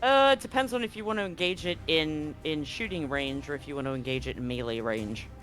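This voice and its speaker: A man's voice: fairly high pitch, fairly quick, fairly bright tone, faint music behind. A man working as game master, switching between dramatic scene-setting and casual rulings in a tabletop roleplaying game. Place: live online stream.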